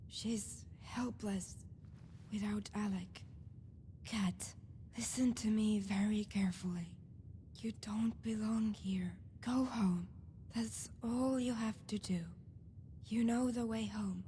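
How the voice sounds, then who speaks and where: Woman playing a soft, mellow, slow-speaking elder sister. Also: mid-range voice, fairly even intonation, big-room sound, slight background noise, lightly acted. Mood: pleading, hushed. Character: controlled female operative coaxing her wayward younger sister. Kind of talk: scripted game dialogue.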